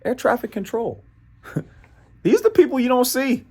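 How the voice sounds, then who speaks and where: A man's voice: extremely high pitch, sing-song, a little slow, darkish tone, breathy edge. An American man presenting a motivational training talk in an emphatic, playful way, repeating phrases and often checking in with "right?". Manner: playful asides and chuckles.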